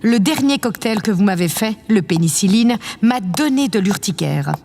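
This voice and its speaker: A woman's voice: medium pitch, animated, moderate pace, close to an everyday voice. A woman playing a vain, imperious yet affectionate president of a space colony in an audio drama, with a pompous, condescending manner. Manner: pompous, condescending, theatrically self-satisfied. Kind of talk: scripted audio drama acting. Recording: long reverb, clear background noise.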